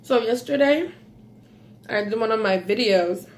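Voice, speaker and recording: A woman from Belize with a light Belizean accent chats slowly and unhurriedly as she eats on camera, pausing often for contented mm's. She sits at a table in a small room at home, recorded by a phone camera a short way off. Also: a little high, a little hiss or hum. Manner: slow, savoring, with frequent mm's while eating. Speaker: mukbang vlogger. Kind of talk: casual eating vlog.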